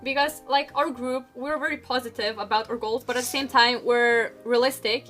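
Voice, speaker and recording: A woman's voice: fairly high pitch, steady tone, moderate pace, fairly bright tone, faint music behind. A young woman whose first language is Lithuanian, chatting casually and upbeat in a group podcast. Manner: chatty, upbeat, uses "like" a lot. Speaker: dance group member.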